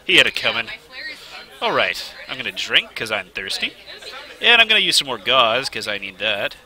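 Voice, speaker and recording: Excitable man streaming a game. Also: mid-range voice, normal speed, some chatter behind. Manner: excitable, talks to himself while playing. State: has a slight cold, sniffling. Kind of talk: live let's play commentary. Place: game studio event.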